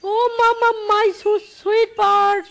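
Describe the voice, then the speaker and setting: A woman voicing a sly, cowardly male fox thief in a cartoon, squeaky and stuttering heavily, wheedling in an exaggerated way. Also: little pitch movement, extremely slow, gravelly, a bit bright, heavily acted. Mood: wheedling, flirty. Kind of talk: cartoon voice acting.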